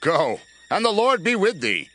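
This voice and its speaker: A man's voice: sits high, sing-song, a little slow, fairly bright tone, full character voice. An actor playing a solemn, anxious king in a scripture drama, speaking with regal emphasis.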